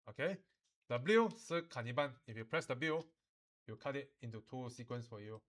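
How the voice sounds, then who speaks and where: Man giving an informal software tutorial. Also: medium pitch, lively intonation, measured pace. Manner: instructional, often checks understanding, uses particles like lah. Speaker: teacher of animation software. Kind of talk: informal software tutorial mixing English and Mandarin.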